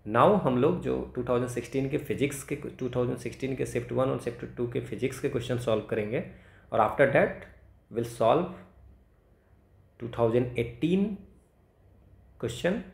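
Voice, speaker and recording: A man's voice: medium pitch, moderate pace, creaky, darkish tone, reverberant. A man tutoring math, solving exam problems aloud, thinking through steps and correcting himself.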